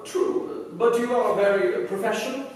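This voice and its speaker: A man's voice: sits high, moderate pace, close to an everyday voice. A man acting a courteous but menacing Nazi agent in a 1940s-style radio play, speaking formally and precisely. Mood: polite menace. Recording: echoey hall, distant mic, faint noise.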